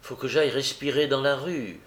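A man voicing a stubborn, desperate young woman who pleads insistently and haltingly in a story reading. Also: medium pitch, fairly bright tone, reverberant, pitched above the performer's own voice, natural voice. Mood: evasive, stubborn.

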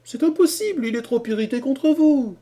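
A man's voice: extremely high pitch, lively intonation, moderate pace, dark tone, voice pushed up. A man voicing a spirited young woman who speaks with light teasing.

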